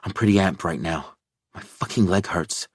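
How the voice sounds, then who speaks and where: A man's voice: sits low, moderate pace, husky, no echo, studio quality. A man voicing a dazed, shaky young woman just revived, speaking breathily.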